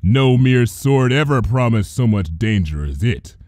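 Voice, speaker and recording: A man's voice: medium pitch, lively intonation, a little slow, darkish tone, no room sound, clean studio sound. A man reading a story aloud as a theatrical, intense narrator. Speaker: fan fiction reader. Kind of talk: dramatic scripted story narration.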